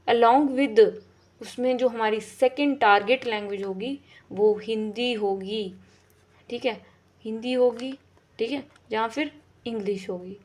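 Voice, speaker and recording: A woman teaching an exam-prep lesson in a casual explaining way, often checking in and rephrasing her points. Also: medium pitch. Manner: repeats "ठीक है" and rephrases often, with fillers.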